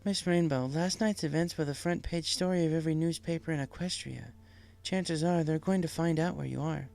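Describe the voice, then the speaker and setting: A man voicing a polite, sympathetic but firm female pony nurse in a storybook, gentle and professional. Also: a little high, steady tone, fairly quick, vocal fry, voice pushed up. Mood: concerned. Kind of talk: storybook character voice.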